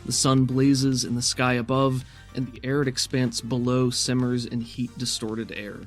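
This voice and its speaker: A man runs a tabletop game as game master, narrating scenes in a measured, cinematic way. His voice sits at a middle pitch, with faint music underneath. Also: level tone.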